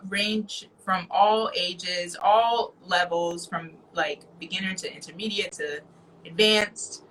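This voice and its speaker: A woman's voice: lowish voice, fairly even intonation, takes it slowly, fairly bright tone, a little hiss or hum, poor audio. A young woman hosting a casual interview, chatting in an informal, slangy and effusive way. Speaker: show host interviewer.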